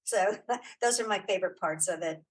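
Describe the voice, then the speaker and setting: A middle-aged woman chatting casually as an interview guest, telling anecdotes in an animated, emphatic way and searching aloud for names. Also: lowish voice, normal speed, husky. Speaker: interview guest, writer and director.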